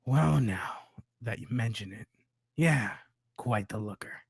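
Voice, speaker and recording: A man's voice: mid-range voice, slow, creaky, breathy edge, dry recording, voice pushed down, exaggerated voice. A man voicing a sly, shrewd goblin merchant in a dry, knowing, understated manner at a script table read. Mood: wistful, musing.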